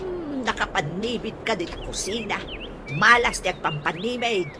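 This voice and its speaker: A woman's voice: medium pitch, lively intonation, moderate pace, natural voice. A woman acting a middle-aged, nagging mother who scolds in a comic radio drama, with an Ilocano accent. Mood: nosy, chiding. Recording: noisy radio drama recording.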